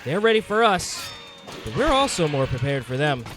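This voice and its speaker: A man's voice: fairly high pitch, big pitch swings, normal speed. A man narrating a monster-hunting game in the first person, in a dramatic storytelling manner, as a scripted adventure. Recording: music behind the voice.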